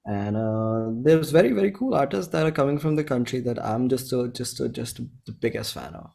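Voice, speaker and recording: Casting: Indian man, 20s, indie musician being interviewed; effusive, slangy, informal, mid-pitched voice. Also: lively intonation, a little fast, creaky, darkish tone. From Bhopal, India. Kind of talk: casual chat.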